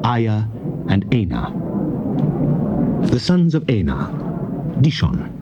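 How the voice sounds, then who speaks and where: A man narrating scripture as a scripted dramatic reading, reciting deliberately in short, measured phrases on an old cassette recording. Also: lowish voice, wildly swooping pitch, dull audio. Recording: noisy old cassette tape transfer.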